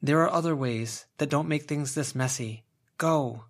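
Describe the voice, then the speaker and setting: A man voicing a wary, uneasy teenage girl with a guarded, hushed manner in an audiobook. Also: on the high side, unhurried, pitched above the performer's own voice. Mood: wary, urgent. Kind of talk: audiobook character voice.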